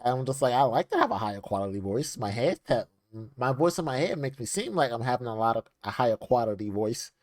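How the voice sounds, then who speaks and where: A man's voice: mid-range voice, lively intonation, fast, darkish tone. Rambling young man reacting on a mic. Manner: rambling, filler-heavy. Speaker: video reactor. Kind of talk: casual reaction chat. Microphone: desk microphone.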